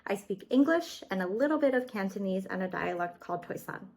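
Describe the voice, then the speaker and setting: A woman from the United States reading a children's story aloud clearly and expressively. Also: medium pitch, expressive, a little fast, a little mellow.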